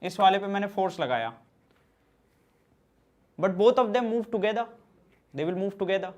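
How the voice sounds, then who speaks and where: High-voiced man teaching physics slowly. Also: lively intonation, a little mellow. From central India. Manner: mixes Hindi and English, reads out student questions and answers them. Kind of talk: online class lecture.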